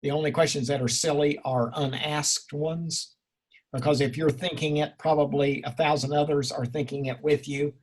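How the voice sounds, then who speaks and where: A male college instructor talking informally with a class in a halting, rambling, encouraging way. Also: on the high side, breathy edge, poor audio. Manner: encouraging, reassuring. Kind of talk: informal class question-and-answer.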